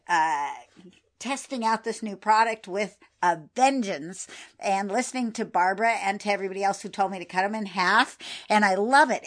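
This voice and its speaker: A talkative, enthusiastic American woman in her fifties hosting a podcast in a chatty style. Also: fairly high pitch. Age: 50s. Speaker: podcast host, mobile pet groomer.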